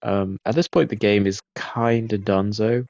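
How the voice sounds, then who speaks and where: A man giving relaxed post-game commentary over his gameplay, laid-back and self-critical, with frequent ums and uhs. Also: on the low side, normal speed, creaky, no room sound, dull audio. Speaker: gaming video creator.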